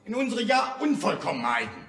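Fervent male revolutionary orator, lively highish voice, emphatic radio-drama rhetoric. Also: fairly quick, faint music behind, heavily acted. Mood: earnest. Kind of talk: theatrical radio play oratory. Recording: echoey hall, distant radio play recording.

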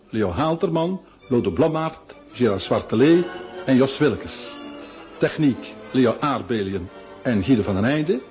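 A man's voice: medium pitch, a little mellow. A man announcing the title and credits of a radio play in a scripted presentation style. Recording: dull lo-fi old radio recording. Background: music.

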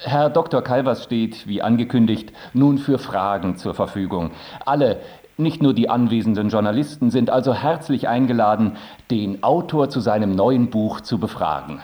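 A man's voice: mid-range voice, lively intonation, normal speed, warm tone, natural voice. An actor playing a polite male moderator in a radio play, addressing an event in a formal, courteous way.

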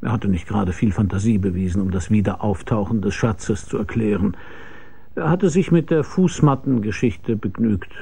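A man's voice: on the low side, normal speed, vocal fry, mellow, breathy edge. An actor playing a hard-boiled, laconic private detective who narrates his own case in a German radio crime drama. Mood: brooding, wry. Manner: laconic, dry, probing.

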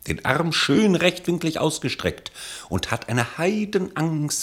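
Ein Mann liest als Erzähler eines Hörspiels einen literarischen Text mit dramatischem Ausdruck. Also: male voice, mid-range voice. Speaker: narrator. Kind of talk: scripted radio play narration, literary and dramatic.

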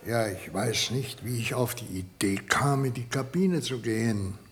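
A man acting a guarded, earnest scientist in his fifties, shaken and halting, in a radio play with a very husky, breathy voice. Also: mid-range voice, a little hiss or hum, close to an everyday voice. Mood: shaken, reflective. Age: 50s. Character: 51-year-old male scientist, shaken patient, guarded, earnest. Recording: vintage radio play recording.

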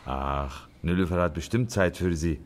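Deep-voiced genial older receptionist played by a man. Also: normal speed, natural voice. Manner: genial, formally polite. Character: courteous, chatty older Turkish father at reception desk. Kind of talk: radio play dialogue. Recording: clear background noise.

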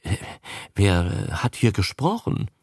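A flustered gentleman zoo director acted by a man stammers in storybook style. He hems and hesitates, startled, with very mobile pitch and a dry, close sound. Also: big pitch swings, unhurried, gravelly, breathy edge, dry recording, heavily acted. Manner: stammering, hemming. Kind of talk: audiobook character voice.

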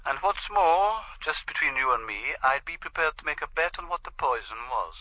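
Police doctor, piercingly bright voice, brisk, confident, relishing, dry sound, radio drama. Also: male voice, on the high side, expressive, no room sound, poor audio. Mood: knowing, relishing. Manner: brisk, knowing.

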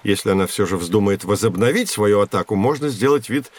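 A man playing a knowledgeable, calm guide who instructs children in a scripted educational audio drama. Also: lowish voice, a little fast. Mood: cautioning. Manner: didactic, instructive.

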